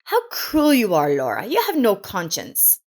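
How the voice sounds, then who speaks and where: A woman's voice: mid-range voice, wildly swooping pitch, measured pace, darkish tone, full character voice. A woman voicing a hurt elderly mother-in-law in a story, pleading and reproachful.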